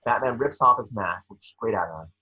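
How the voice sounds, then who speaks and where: A man's voice: on the low side, normal speed, pushed and bright, breathy edge, muffled recording. A man narrating screenplay action in an amateur table read, occasionally stumbling over the script. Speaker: scene-description reader.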